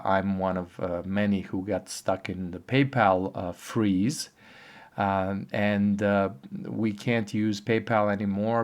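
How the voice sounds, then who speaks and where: Low-voiced hoarse man, halting update. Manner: halting, rambling, filler-heavy. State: hoarse from a cold. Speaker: online earnings vlogger. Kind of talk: casual talking-head update.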